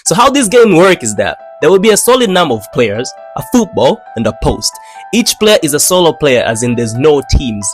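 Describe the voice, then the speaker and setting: A young man from Tanzania, telling funny childhood stories in a slangy, animated way. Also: on the high side, moderate pace, faint music behind. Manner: animated, slangy, playful delivery with dramatic swings. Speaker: animator narrating his own channel. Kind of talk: comedic storytime narration.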